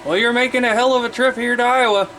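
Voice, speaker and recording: A man's voice: very high voice, fairly even intonation, quick pace, heavy background noise. An American man chatting enthusiastically as a CB radio operator, talking to other operators and to his viewers. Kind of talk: casual radio chat and vlogging to viewers.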